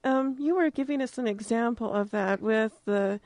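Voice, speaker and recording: A woman's voice: a little high, normal speed, a little mellow, dry recording. Woman interviewing, dry close sound. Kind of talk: casual interview.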